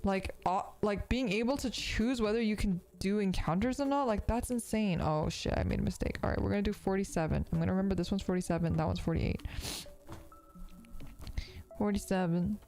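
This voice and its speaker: A woman's voice: mid-range voice, brisk, a little mellow, faint music behind. A woman in her thirties, a streamer chatting casually while she crafts, sounding sniffly and congested, rambling with lots of fillers and swearing. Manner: rambling, profane, filler-heavy.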